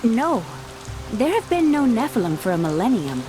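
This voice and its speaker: A woman's voice: on the high side, very animated, normal speed, music in the background. A young woman voicing an earnest, curious scholar with a dry wit. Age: young adult.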